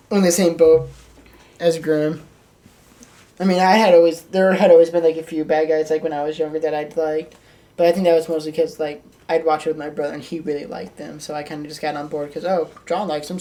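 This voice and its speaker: A woman's voice: sits low, fairly even intonation, brisk, dark tone, far from the mic. A young woman co-hosting a wrestling podcast in casual chat, recorded a little away from the microphone. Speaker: podcast co-host.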